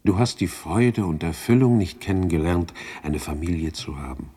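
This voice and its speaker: An actor playing a solemn, reproachful ghost in a radio play, speaking in a grave, probing, admonishing manner. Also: male voice, sits low, lively intonation, moderate pace, dark tone, husky. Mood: taunting, reproachful. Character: solemn, reproachful male ghost of Christmas past. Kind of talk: 1960s German radio play acting.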